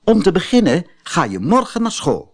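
Man doing a fairy woman, raised voice, gentle, reassuring, husky. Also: high voice, wildly swooping pitch, normal speed, voice pushed up. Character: kind motherly fairy woman.

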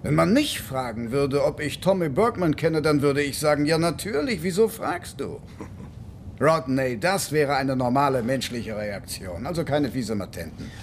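An actor playing a seasoned interrogating cop in a German radio crime drama, cunning and exasperated, lecturing deliberately and with condescension. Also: male voice, mid-range voice, lively intonation, slight background noise, natural voice. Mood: controlled, menacing.

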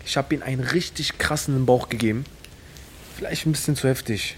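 A man's voice: mid-range voice, fairly quick, slight background noise, close to an everyday voice. A man acting a streetwise young petty criminal in an audio drama, slangy, brash and blasé. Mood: casual, boastful.

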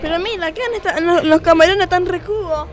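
A woman's voice: very high voice, fairly quick. A woman playing a haughty, demanding princess who complains in an improvised comedy sketch. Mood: indignant. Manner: indignant, demanding. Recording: music and crowd noise behind.

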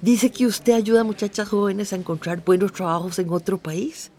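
Actress playing middle-aged mother, medium pitch, worried, devout, hesitant, radio drama. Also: moderate pace, warm tone, lightly acted.

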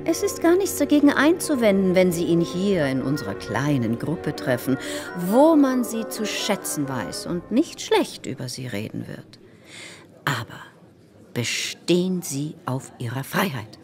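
A woman's voice: fairly low pitch, sing-song, a little slow, over music. An actress playing a domineering, scheming society hostess in a radio play, insistent and theatrical in her mock concern. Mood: insistent, coaxing. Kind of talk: German radio play acting.

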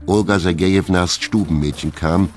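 A man narrating a classic novel in a German radio drama, with measured storytelling. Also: sits low, fairly even intonation, soft background music, natural voice.

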